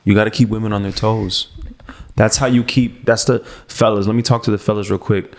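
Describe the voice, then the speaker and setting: A man's voice: lowish voice, fairly even intonation, a little fast. A man hosting a podcast, talking in a laid-back, teasing way and swearing casually. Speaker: podcast host. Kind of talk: casual chat. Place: podcast studio. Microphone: studio dynamic mic.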